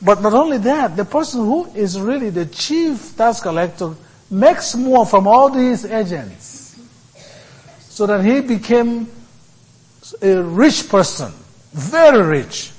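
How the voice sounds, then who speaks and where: A man giving a sermon as a priest, speaking in short, deliberate phrases with many pauses. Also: high-pitched, expressive, husky, rough recording.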